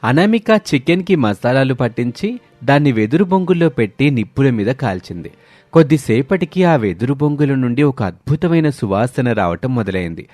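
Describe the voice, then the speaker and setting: A man's voice: medium pitch, very animated, moderate pace, a little mellow, no echo, soft background music. A man narrating a scripted moral story in a storytelling manner.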